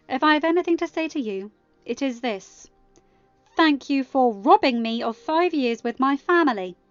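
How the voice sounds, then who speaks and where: A woman's voice: high voice, dry recording, lo-fi sound, pitched above the performer's own voice. A woman voicing an angry, sarcastic, defiant young woman with wounded pride, speaking firmly and scornfully in an audiobook-style story reading. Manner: firm, scornful, emphatic.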